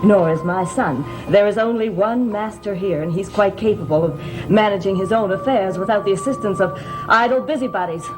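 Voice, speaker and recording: An actress playing a proud, stern housekeeper in a gothic soap opera, clipped, haughty and dismissive. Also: female voice, mid-range voice, moderate pace, close to an everyday voice, low-quality recording. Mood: indignant, haughty. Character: proud, stern housekeeper mother, fiercely loyal to the master. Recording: music behind the dialogue.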